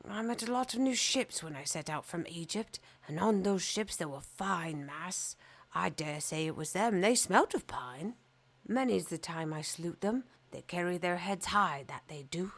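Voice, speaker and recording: A woman's voice: on the low side, lively intonation, moderate pace. A woman voicing a thoughtful, kindly stork in a storybook reading. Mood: musing. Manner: thoughtful, musing.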